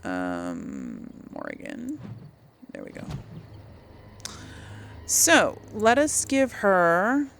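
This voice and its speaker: A woman's voice: fairly low pitch, wildly swooping pitch, extremely slow, gravelly, pauses often, fairly bright tone, a little hiss or hum. A woman giving casual, chatty video game commentary, thinking aloud as she plays.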